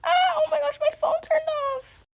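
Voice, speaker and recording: A woman's voice: very high-pitched, completely flat delivery, normal speed, darkish tone, pitched above the performer's own voice. A woman voicing a sulky, dramatic teenage girl in an amateur roleplay story. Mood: panicked.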